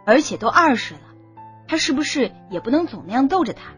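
A woman's voice: sits high, very animated, brisk, fairly bright tone, soft background music. A woman narrating a romance novel as a scripted audiobook.

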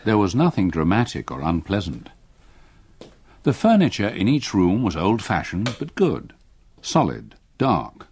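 An older man with an English accent, an actor playing a grave retired solicitor narrating his haunted memories in a radio drama, measured and reflective. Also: fairly low pitch, huge pitch swings, darkish tone, a little hiss or hum, heavily acted. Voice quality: husky. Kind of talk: literary first-person radio drama narration.

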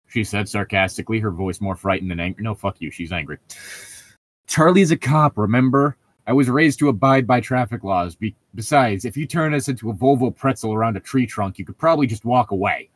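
A man with a mid-pitched voice talks in a casual, slangy way as he riffs on a book he reads aloud. He is sarcastic and swears freely, and the recording is dry. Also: lively intonation, normal speed, fairly bright tone, no echo. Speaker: co-host reading a book aloud and mocking it. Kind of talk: casual comedic chat and mock read-aloud.

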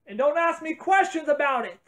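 High pitched woman, blunt n complaining, casual bickering. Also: expressive, brisk. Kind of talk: casual bickering in a home-made prank series.

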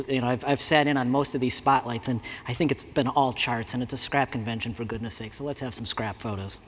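A man's voice: mid-range voice, fairly bright tone, a little hiss or hum. Man giving a talk in a big hall. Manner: conversational, frequent fillers and restarts. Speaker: conference speaker. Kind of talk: conference talk. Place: large conference hall. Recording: reverberant hall.

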